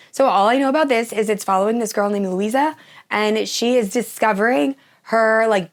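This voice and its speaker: A young woman hosting a casual book vlog, her voice hoarse and nearly gone after the flu, gushing about the books she plans to read. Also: mid-range voice. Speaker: book channel host.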